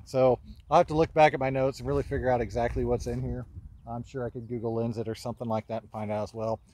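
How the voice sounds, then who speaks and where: A man in his thirties walking a vegetable field outdoors and talking casually into a phone camera held at arm's length, offhand and relaxed as he points things out. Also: mid-range voice, fast. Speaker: market gardener giving a farm tour. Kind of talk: casual walking tour talk.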